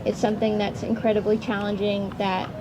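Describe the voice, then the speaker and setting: A woman's voice: mid-range voice, flat delivery, brisk. A woman in her twenties, a student panelist, talking in a chatty, informal, hesitant way outdoors. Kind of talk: casual unscripted panel talk. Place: outdoors at a campground. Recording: outdoor recording with clear background noise.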